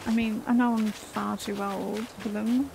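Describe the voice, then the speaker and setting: A woman's voice: medium pitch, little pitch movement, a little slow, creaky, over music. A woman in her forties chatting casually and self-deprecatingly while she plays a game, thinking aloud. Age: 40s. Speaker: let's play host. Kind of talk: casual gameplay commentary.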